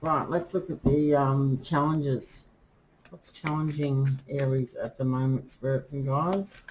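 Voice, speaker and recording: Slow-talking woman reading tarot. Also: very deep voice, fairly even intonation, darkish tone, poor audio. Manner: chatty, many fillers, talks to spirits while drawing cards. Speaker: tarot reader. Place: indoors with rain outside. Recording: faint rain noise behind.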